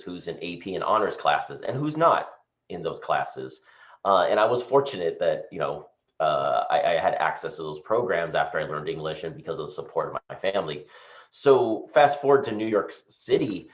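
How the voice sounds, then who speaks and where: Man, very low voice, roomy echo, dull lo-fi sound, video call panelist. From Singapore, raised in California. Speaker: panelist, nonprofit leader.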